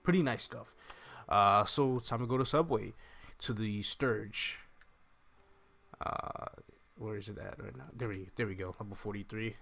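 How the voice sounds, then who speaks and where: A man's voice: fairly low pitch, unhurried, vocal fry, long pauses, fairly bright tone. A young man hosting a game walkthrough in a casual, rambling way, thinking aloud as he goes. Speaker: gaming video host. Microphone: microphone on a stand close in front of him. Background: game music.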